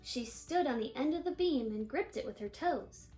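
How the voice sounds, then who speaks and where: A woman with a high voice reads a children's picture book aloud. Her delivery is animated, drawing out important words for effect. Faint music plays along with the reading. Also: moderate pace. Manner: animated storytelling, stressing and stretching key words. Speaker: storybook reader.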